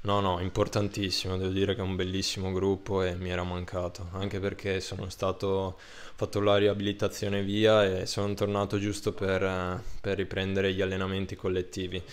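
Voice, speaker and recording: Young male footballer, low monotone, hesitant post-match answers, handheld mic. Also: moderate pace. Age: 20s. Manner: hesitant, many fillers and restarts. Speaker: football player. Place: indoor room under a ribbed ceiling. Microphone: handheld microphone held up by interviewer.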